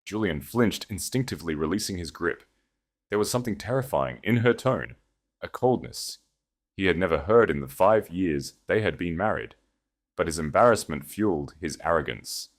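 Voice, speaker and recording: A man's voice: sits low, animated, normal speed. A man narrating scripted fiction as a dramatic audiobook reader.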